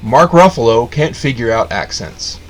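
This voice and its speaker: A man's voice: mid-range voice, lively intonation, moderate pace, faint music behind. Sarcastic man ranting in a casual review. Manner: sarcastic, rambling, full of fillers and restarts. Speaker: video reviewer.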